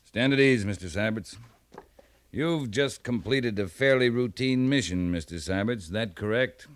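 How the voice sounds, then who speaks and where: An actor playing a stern, seasoned cavalry captain with clipped, dry authority, in 1950s radio western style. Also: male voice, lowish voice, measured pace, lightly acted. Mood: cold, interrogating.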